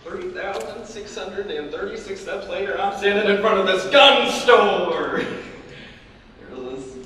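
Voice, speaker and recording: A young man performing a haunted male high school English teacher, who talks in halting restarts and wry, self-mocking laughs, in a live dramatic interpretation. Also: pushed and bright. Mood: rambling, uneasy. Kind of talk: competitive dramatic interpretation monologue. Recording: echoey hall from far off, noisy.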